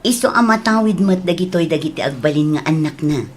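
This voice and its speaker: A very low-voiced, calm doctor. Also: female voice. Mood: composed. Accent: Ilocano. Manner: measured, explanatory. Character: calm, explanatory female doctor. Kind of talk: Ilocano radio drama acting. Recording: noisy, background ambience.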